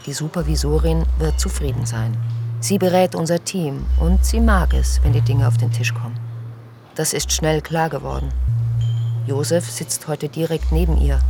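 A woman playing a sardonic, bitter streetworker, narrating her own story drily in a radio drama. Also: sits low.

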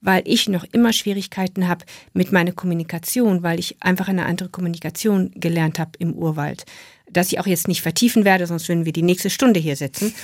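Middle-aged woman, English as first language, low gravelly voice, dry studio, reflective interview guest. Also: fairly even intonation, brisk, darkish tone, no room sound. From Western New Guinea. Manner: thoughtful, with many restarts and fillers. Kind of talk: radio interview. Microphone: studio microphone.

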